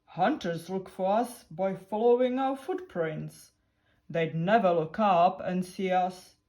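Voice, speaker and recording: Woman as storybook boy elephant, clever, calm, measured, reassuring, slow delivery. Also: fairly low pitch. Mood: earnest, confident. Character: clever, calm, upbeat male patchwork elephant. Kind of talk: storybook character reading.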